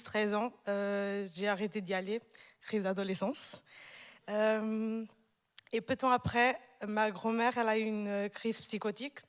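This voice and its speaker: A woman in her twenties from Portugal giving a personal church testimony on stage, hesitant, with many pauses and fillers. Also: medium pitch, in a large hall. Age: 20s. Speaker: newly baptized church member. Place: large church hall.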